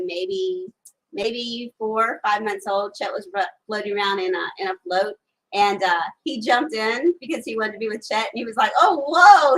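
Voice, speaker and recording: A woman's voice: mid-range voice, fairly bright tone, poor audio. A woman co-hosting a casual live chat outdoors, reading comments aloud and rambling with many fillers, recorded on a phone camera. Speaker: live show co-host. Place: outdoors on a porch during a storm.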